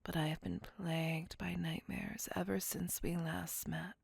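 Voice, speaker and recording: Woman doing asmr vampire lady, centuries old, super breathy whispering with some gravel in it, dark n possessive, old fashioned wording. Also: lowish voice, flat delivery, no echo, exaggerated voice. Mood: remorseful, tender. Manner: slow, formal, archaic, menacingly tender. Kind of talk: intimate ASMR roleplay.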